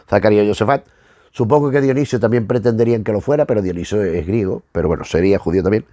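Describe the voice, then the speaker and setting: Man, medium pitch, informal commentary, digressive, colloquial, trailing sentences, retro show host, dry close sound. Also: a little mellow, no room sound. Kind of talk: informal rambling commentary from notes.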